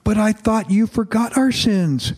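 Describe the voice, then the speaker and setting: A man's voice: high-pitched, expressive, a little slow, a little mellow, breathy edge, voice pushed up, full character voice. A man reading aloud and voicing a grief-stricken, bitter father who pleads in a raised, anguished voice. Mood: pleading.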